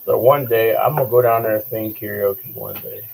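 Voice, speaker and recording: A hoarse man with an American accent telling a story in casual chat and acting out what others said. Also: fairly low pitch, steady tone, a little mellow, rough recording. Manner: slow, drawling storyteller who acts out other people's lines. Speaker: channel host. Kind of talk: casual storytelling with quoted dialogue and sung passages.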